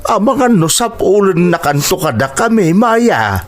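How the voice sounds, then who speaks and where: Emotional, swooping melodrama character. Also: male voice, high voice, sing-song, unhurried. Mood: anxious. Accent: Ilocano. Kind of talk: Ilocano radio drama acting.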